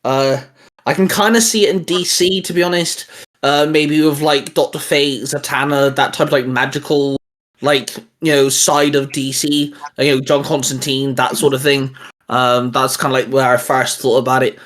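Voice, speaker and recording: A man's voice: medium pitch, fairly even intonation, moderate pace, a bit bright. A young man co-hosting a casual geeky chat, rambling with lots of fillers and restarts. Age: young adult. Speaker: channel co-host.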